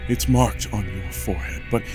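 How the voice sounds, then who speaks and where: A man's voice: fairly low pitch, normal speed, lightly acted. An actor playing a proud, desperate tyrant king in an audio drama, imperious and theatrical. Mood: bitter, menacing. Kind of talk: horror-fantasy audio drama acting. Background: music.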